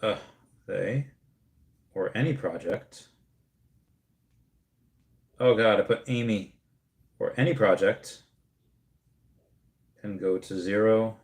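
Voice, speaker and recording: A man's voice: on the low side, slow, pauses often, a little mellow, reverberant. A man in his thirties hosting a casual livestream, talking in a rambling way with many restarts and reading out viewer comments. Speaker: streamer host.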